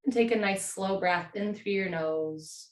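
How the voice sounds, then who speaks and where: A woman with a hoarse voice from a cold, teaching a yin yoga class from home in a slow, gentle, soothing way. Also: medium pitch, reverberant.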